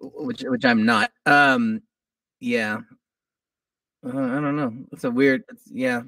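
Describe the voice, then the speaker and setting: A middle-aged musician guest talking casually in a laid-back way, a little groggy. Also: male voice, medium pitch, steady tone, slow, vocal fry, pushed and bright, no room sound, far from the mic. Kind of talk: casual interview.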